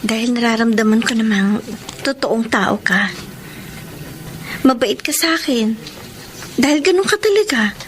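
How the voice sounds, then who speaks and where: A woman acting a modest, grateful young housemaid in a radio drama, speaking sincerely and deliberately. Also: a little high, slight background noise, lightly acted. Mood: sincere, calm.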